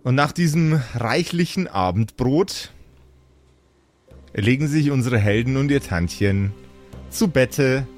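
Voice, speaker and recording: A man narrating an improvised fantasy story as game master, with theatrical, relishing, drawn-out phrasing. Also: mid-range voice, expressive, a little mellow, faint music behind.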